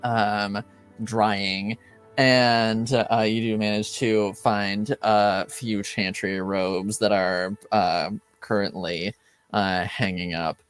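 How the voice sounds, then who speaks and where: A man narrating a tabletop game as game master, haltingly and casually, searching for his words. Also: on the low side, flat delivery, fairly bright tone, smooth, faint music behind.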